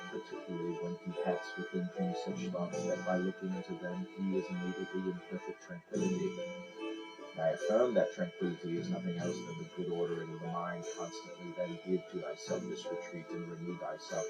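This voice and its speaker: A man with a deep voice giving a solemn, formal reading of a philosophy text as scripted narration. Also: rough recording. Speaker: audiobook reader. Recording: loud instrumental music under the voice. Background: instrumental music.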